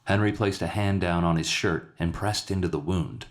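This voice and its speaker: A man's voice: deep voice, moderate pace. A man reading a scripted dramatic short story aloud as its narrator. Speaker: author narrating his own story.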